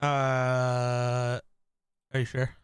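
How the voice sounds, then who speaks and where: A man co-hosting a casual podcast, an excitable fan who swears casually. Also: mid-range voice, very slow, clear, resonant tone, no echo, clean studio sound. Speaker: podcast co-host. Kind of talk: casual chat.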